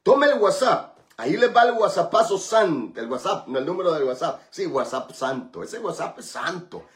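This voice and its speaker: Man, radio pastor, fervent prayer, a bit high voice, echoey room. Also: big pitch swings, moderate pace. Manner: fervent repetitive praying, then chatty and joking. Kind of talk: radio prayer and call-in program.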